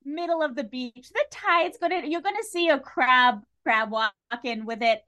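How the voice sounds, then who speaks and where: A woman with a fairly high voice talks casually as part of a podcast panel. She has a wry way of joking while she discusses things. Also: moderate pace, bright, forceful tone.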